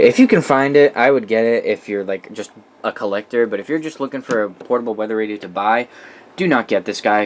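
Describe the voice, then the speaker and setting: Man, lower-pitched, informal hobbyist walkthrough, blunt opinions and swearing, faint noise behind. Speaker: radio collector presenting a collection. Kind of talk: casual unscripted product walkthrough.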